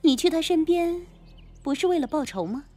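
一名女演员在广播剧中饰演冷酷、有心计、语带嘲讽的帮派女叛徒。. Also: female voice, extremely high pitch, animated, normal speed, dark tone, soft background music. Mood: taunting. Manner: taunting, coolly condescending. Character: cold, scheming female gang insider turned betrayer. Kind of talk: Chinese radio drama acting.

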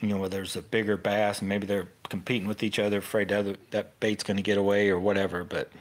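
A man's voice: on the low side, flat delivery, fast talker. A middle-aged man with an American accent gives a casual, unscripted fishing tutorial as host, rambling informally and often interrupting himself.

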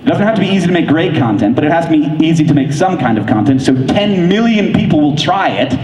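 Excited man on a big convention stage. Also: fairly high pitch, a little hiss or hum. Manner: fast, enthusiastic, filler-heavy with frequent likes. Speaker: keynote speaker. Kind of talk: conference keynote talk. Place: large convention hall stage. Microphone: handheld microphone. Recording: echoey hall from far off.

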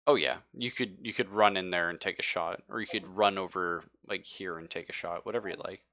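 Low, bright-voiced man running a game. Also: brisk. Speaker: game master. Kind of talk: casual talk narrating an online tabletop game.

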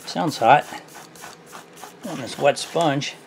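Easygoing middle-aged guy explaining a fix at his bench, thinks out loud, bit of room hum. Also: fairly high pitch, very slow, gravelly. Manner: relaxed, self-deprecating, thinking aloud with restarts. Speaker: small engine repair host. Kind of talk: casual hands-on repair tutorial. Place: workshop bench, indoors.

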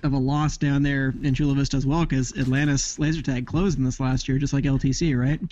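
A man's voice: mid-range voice, level tone, fairly quick, darkish tone. A man co-hosting a podcast, bantering with dry, wry quips. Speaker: podcast co-host. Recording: muffled lo-fi audio.

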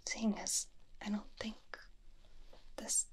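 A young woman whispers softly and very close to a binaural microphone. She takes her time over each phrase, breathy and doting, in the style of an ASMR personal-attention roleplay. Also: takes it slowly. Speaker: ASMR performer.

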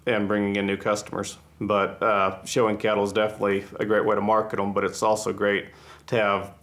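A man's voice: on the low side, completely flat delivery, fairly quick, fairly bright tone. A man in his thirties from Texas, a rancher, speaking in a modest, plainspoken way while seated indoors on a lapel mic. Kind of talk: interview. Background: background music.

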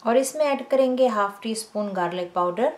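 A woman hosting a home cooking show, calmly explaining each step and addressing her viewers directly. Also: fairly high pitch, normal speed. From Pakistan. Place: home kitchen.

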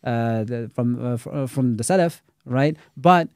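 A man's voice: medium pitch, normal speed, a little mellow, clean tone, dry recording, studio quality. A man, an Islamic scholar and teacher, talking critically in a casual podcast discussion, scattering Arabic religious terms through his speech.

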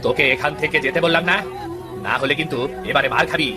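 A man's voice: a little high, fast talker, over music, a crowd in the background, natural voice. A man playing a brusque village market seller in a cartoon folktale, mocking and bullying. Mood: scornful, threatening. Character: gruff, scornful village market fishmonger, quick to threaten. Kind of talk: exaggerated fairy-tale cartoon acting.

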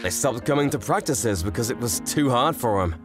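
A man voicing a cocky, good-natured teenage boy in a manga dub, casual and expressive. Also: mid-range voice, brisk, over music, natural voice. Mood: reflective. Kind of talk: manga dub narration and dialogue.

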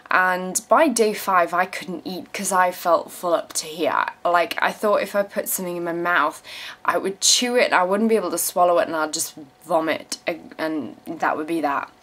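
A woman's voice: fairly low pitch, fairly bright tone. A woman in her twenties from South Africa who moved to England as a teenager, chatting frankly and casually to camera with some swearing as she answers viewer questions. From South Africa, moved to England in her teens. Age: 20s. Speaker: vlogger. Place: small room at home, indoors. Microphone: camera mic at about arm's length.

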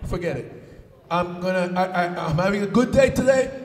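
A man's voice: high voice, expressive, normal speed, a little mellow, pitched below the performer's own voice. A man playing the Great Spirit of a folk tale as an easygoing creator god with an offhand, slangy manner. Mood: weary, dismissive. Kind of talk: live oral folk storytelling. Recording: echoey hall, far from the mic.

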